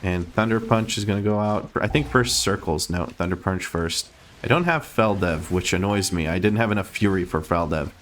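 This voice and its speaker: A man giving rambling, self-correcting play-by-play commentary on his gameplay, a little hoarse as he is losing his voice. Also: low voice, normal speed, a bit bright, slight background noise. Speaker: game streamer.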